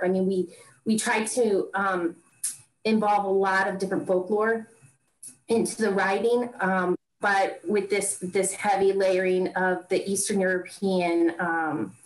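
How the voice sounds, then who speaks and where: A woman director speaks on a video call from an echoey room, her voice mid-pitched and ordinary. She talks with energy, often repeating a phrase twice to drive it home. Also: fairly even intonation, unhurried. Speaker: film director. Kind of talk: casual interview chat.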